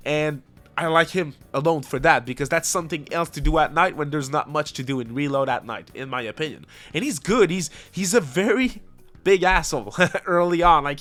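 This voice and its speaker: Male video host, voice a bit on the high side, casual opinionated commentary, emphatic and conversational, light music underneath. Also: fairly bright tone. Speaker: host of a video game ranking video.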